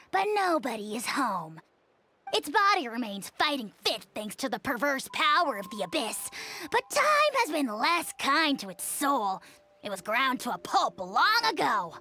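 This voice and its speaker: Sardonic lofty creature, high bright voice, lively lilt, game audio. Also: female voice, full character voice. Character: snarky haughty non-human creature companion. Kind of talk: video game voice acting.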